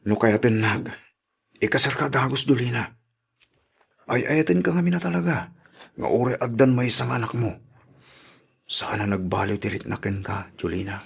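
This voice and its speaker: A man with a Filipino accent playing an earnest suitor in a radio drama, speaking gently and pleadingly. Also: medium pitch, moderate pace, vocal fry, long pauses, slightly rough. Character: earnest, soft-spoken male suitor courting an old love. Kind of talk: Ilocano radio drama acting.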